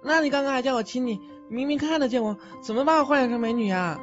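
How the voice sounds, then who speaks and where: A man plays a gentle, naive boy ghost in an audio drama, shy and halting in his speech. The recording is dull and lo-fi, with music behind him. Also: extremely high pitch. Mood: puzzled, miffed. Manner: hesitant, soft-hearted, stammering. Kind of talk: Chinese audio drama acting.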